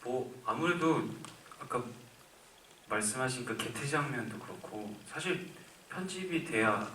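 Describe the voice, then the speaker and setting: A man's voice: mid-range voice, lively intonation, unhurried, sharp tone, a little hiss or hum. A man in his twenties, an actor answering a question at a film Q&A, speaking earnestly and thinking aloud with frequent restarts. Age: 20s. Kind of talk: live Q&A answer. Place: cinema auditorium. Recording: echoey cinema from far off.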